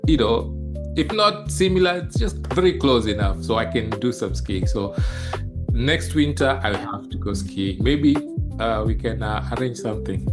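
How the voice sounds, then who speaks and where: Male podcast host, medium pitch, informal and halting interviewer, light music underneath. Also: expressive. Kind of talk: casual interview.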